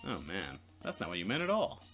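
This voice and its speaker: A man reading a visual novel aloud as a Let's Play narrator, expressive and playfully dramatic. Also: low-pitched, a little fast, faint music behind.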